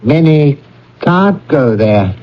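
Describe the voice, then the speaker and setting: An actor playing a courteous, earnest gentleman collecting for charity in a classic radio drama, speaking with formal, solemn politeness. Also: a little high, expressive, takes it slowly. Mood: earnest appeal. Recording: dull lo-fi old radio broadcast.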